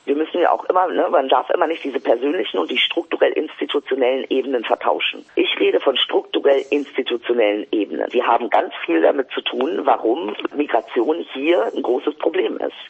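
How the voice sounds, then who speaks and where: A man talks as an interview guest, with a very husky voice. He is blunt and direct, and his delivery turns polemical. The recording sounds dull and lo-fi. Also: mid-range voice, lively intonation, a little fast, hardly any pauses. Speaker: guest, stage performer. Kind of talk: interview conversation.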